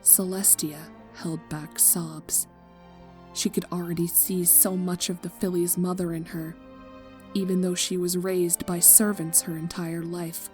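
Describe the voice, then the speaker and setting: Somber woman narrating over soft guitar. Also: lowish voice, level tone. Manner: somber, slow, dropping to near-whispers. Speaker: fanfic reader. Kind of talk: scripted narration of a tragic story. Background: soft guitar music.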